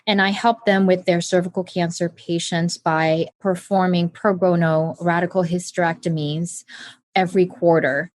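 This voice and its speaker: A woman doctor as an interview guest, speaking in a calm, measured, earnest way. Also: fairly low pitch, dry recording. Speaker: guest, oncologist surgeon. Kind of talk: conversational interview.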